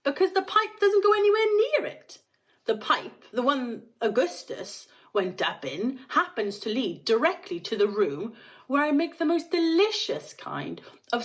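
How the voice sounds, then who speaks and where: A woman voicing an eccentric male chocolate maker in a storybook, blithely soothing, excitable and emphatic. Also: sits high, measured pace, pitched above the performer's own voice. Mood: emphatic, delighted. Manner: exclamatory, emphatic, repeats himself.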